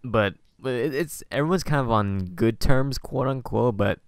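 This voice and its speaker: A man hosting a podcast in casual conversation, talking in a rambling, halting way and often correcting himself. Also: mid-range voice, smooth, no room sound, studio quality. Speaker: podcast host. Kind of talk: casual interview chat.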